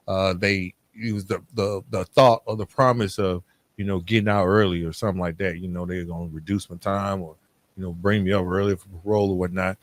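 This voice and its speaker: A man's voice: lowish voice, no room sound. A middle-aged man telling a personal story casually, informal in his wording and acting out both sides of remembered exchanges. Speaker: podcast guest telling his own story. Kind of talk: casual storytelling with re-enacted dialogue.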